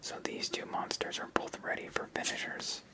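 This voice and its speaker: An ASMR host whispering commentary while playing through a tabletop card game. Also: moderate pace.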